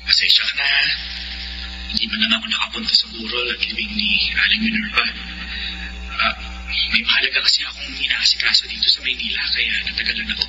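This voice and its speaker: A man plays a caring, apologetic young Filipino man in a radio drama. His voice is medium in pitch, with music clearly playing behind it. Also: very animated, fast talker, pauses often, highs cut off, close to an everyday voice. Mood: apologetic, eager.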